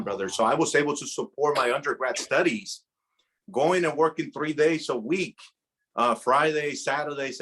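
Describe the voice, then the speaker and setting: A man in his thirties with a slightly husky tone. He speaks as an interview guest, earnest and animated, often repeating words as he builds his point. His pitch sits at an ordinary male level. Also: low-quality recording. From Puerto Rico. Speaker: guest, insurance agency director. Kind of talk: casual podcast interview.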